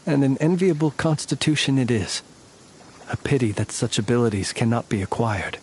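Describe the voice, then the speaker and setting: A man's voice: mid-range voice, lively intonation, moderate pace, a little mellow, slight background noise, lightly acted. An actor playing a courtly, world-weary, proud and stoic male warrior in a video game, speaking in formal, archaic phrasing. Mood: humble, courteous. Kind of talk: video game voice acting, scripted dialogue.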